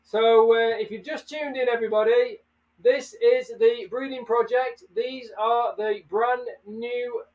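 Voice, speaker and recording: A man's voice: very high voice, steady tone, measured pace, recorded from a distance, highs cut off. A man hosting a casual livestream, chatting and greeting viewers by name. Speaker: livestream host. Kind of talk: casual live chat.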